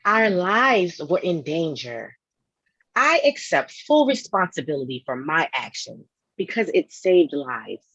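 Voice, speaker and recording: A woman's voice: low-pitched, big pitch swings, a little slow, a bit bright, breathy edge, no echo, muffled recording, heavily acted. A woman playing a proud, solemn, dutiful goddess in a comic-book table read.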